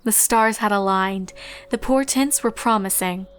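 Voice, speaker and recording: A woman reading a story aloud in an expressive, scripted narration style. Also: medium pitch, a little slow, smooth. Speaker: fan fiction narrator.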